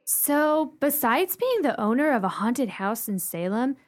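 Inquisitive, animated lady, fairly high. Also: a little slow. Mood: curious. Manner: inquisitive, theatrical. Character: curious, easily astonished female listener to a ghost tale. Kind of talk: radio play dialogue.

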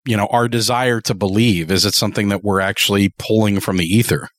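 A man's voice: lowish voice, steady tone, fairly quick, a bit bright, no room sound, studio quality. A man hosting a casual podcast interview, asking questions in a musing, reflective way. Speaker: podcast host interviewing guests.

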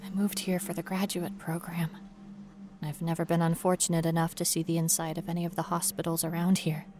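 A woman's voice: lowish voice, completely flat delivery, fairly quick, darkish tone, natural voice. A woman performing a frightened, paranoid young female student confined in a hospital, as dramatic horror narration over a noisy ambient bed. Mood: uneasy, brooding. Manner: breathy asides dropping to whispers, rising to desperate pleading. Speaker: storyteller.

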